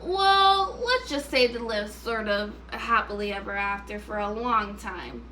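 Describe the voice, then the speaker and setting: A woman teacher reading a story aloud to first graders, expressive and instructive, pausing to put questions to them. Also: mid-range voice, a little hiss or hum.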